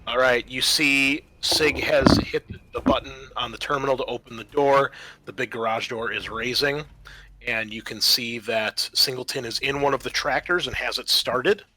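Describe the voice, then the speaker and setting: Bright-voiced man running a tabletop roleplaying game. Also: mid-range voice, moderate pace, poor audio. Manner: casual, profane, descriptive. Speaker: game master. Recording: music under the voice. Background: background music.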